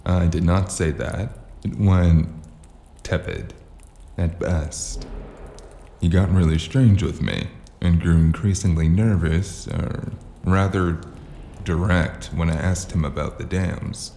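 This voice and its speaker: A weary noir investigator in a cyberpunk audio drama. The voice is extremely low and gravelly, with a dark, mellow tone. Lines come dry and sardonic, clipped into short phrases, over faint noise. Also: male voice, fairly even intonation, takes it slowly, full character voice. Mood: dry, guarded. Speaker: lead actor and narrator.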